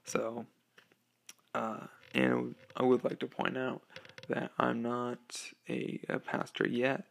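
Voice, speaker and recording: A sixteen-year-old boy hosting his own podcast, talking casually and hesitantly with lots of ums and uhs, through a low-quality microphone. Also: monotone, slow pace, gravelly. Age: teens. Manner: hesitant, many ums and uhs, occasional laughs. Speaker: podcast host. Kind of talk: casual solo podcast talk. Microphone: low-quality home microphone.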